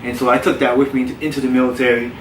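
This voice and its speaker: A man who grew up in South Florida, a personal trainer telling his story in a relaxed, candid way with frequent restarts. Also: medium pitch, rapid-fire, slight background noise. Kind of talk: casual podcast interview. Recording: roomy, distant mic with faint ambience.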